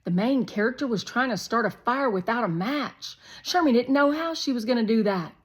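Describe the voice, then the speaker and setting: A woman narrating a children's story aloud in an expressive, scripted read-aloud style. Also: on the high side, normal speed, slightly rough.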